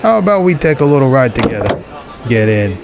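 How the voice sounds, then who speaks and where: A man's voice: medium pitch, big pitch swings, brisk, vocal fry, noisy background. An actor playing a menacing gangster thug in a 1940s radio crime drama, with taunting, slangy tough-guy talk. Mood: threatening.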